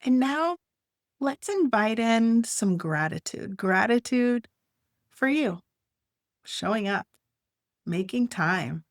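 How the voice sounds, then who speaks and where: A woman leading a guided meditation, speaking gently in short, unhurried phrases with long pauses. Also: a little high, animated, no room sound, clean studio sound.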